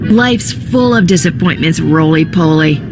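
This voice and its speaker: A woman's voice: deep voice, expressive, unhurried, music in the background. A woman playing a cocky, sarcastic smuggler captain in a scripted science fiction game. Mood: sarcastic, defiant. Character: cocky, sarcastic young female smuggler captain, space rogue. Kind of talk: scripted game cutscene dialogue.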